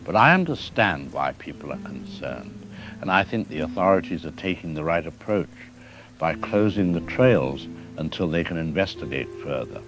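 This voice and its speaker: A man playing a careful, deliberate wildlife expert doctor interviewed on the news in an audio drama. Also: very deep voice, lively intonation, over music, low-quality recording. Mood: measured concern. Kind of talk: broadcast interview acting.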